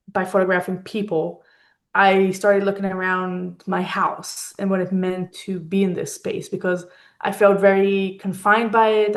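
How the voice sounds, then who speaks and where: A woman from Israel talks as a guest in a reflective interview. Her voice sits at a middle pitch, and she feels her way through sentences haltingly, searching for the right words. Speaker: interview guest, fine art photographer.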